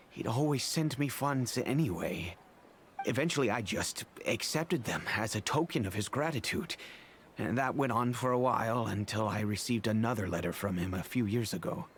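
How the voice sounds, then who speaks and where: An actor playing an earnest, remorseful, wealthy middle-aged father in a video game, speaking in a measured, formal way. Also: medium pitch, a little hiss or hum, natural voice. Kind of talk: video game character acting.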